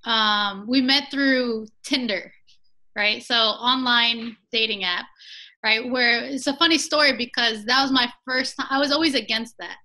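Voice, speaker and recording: A woman's voice: on the high side, a little slow, pushed and bright. A woman in her early thirties chatting casually as an interview guest, telling her story and often checking in with the listener. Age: early 30s. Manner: chatty, checks in with "right?" and "you know". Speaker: podcast guest, business owner.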